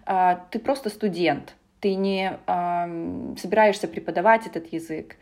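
A woman who coaches English pronunciation, a podcast guest, speaking thoughtfully and pausing with hesitation sounds. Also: lowish voice, a little mellow. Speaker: guest, British accent specialist. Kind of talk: casual podcast conversation.

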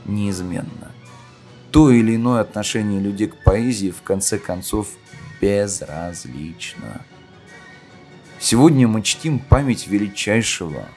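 A man's voice: on the low side, a little mellow. A man hosting a podcast, reciting a historical speech in a solemn, declamatory and oratorical manner. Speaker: podcast host reading famous speeches. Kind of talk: dramatic recitation of a historical speech with spoken intro. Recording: music under the voice. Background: music.

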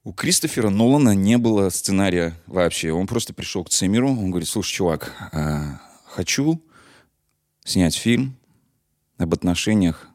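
A man's voice: low-pitched, normal speed. A man speaking as an interview guest, telling anecdotes casually, acting out dialogue and dropping slangy asides. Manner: animated retelling with acted-out dialogue and slangy asides.

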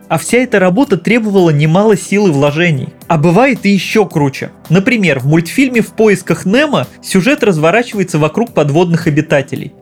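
A man with a fairly high voice narrates a scripted video essay. He explains things as a critic and offers his own opinions. Faint music plays behind him.

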